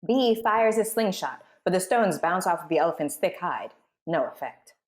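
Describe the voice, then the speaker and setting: A woman's voice: lowish voice, lively intonation, moderate pace. A woman hosting a live table read and narrating the scripted scenes. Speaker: host and narrator.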